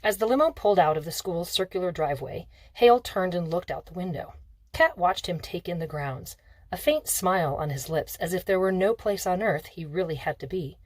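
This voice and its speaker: A woman reading a novel aloud as expressive scripted narration. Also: lowish voice, fairly quick, no room sound. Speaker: audiobook reader.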